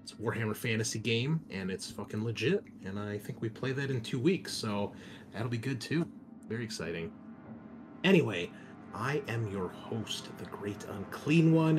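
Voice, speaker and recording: A man speaking as host and game master, chatting casually and informally to listeners and players. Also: fairly low pitch. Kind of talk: casual hosting chat for an actual-play podcast.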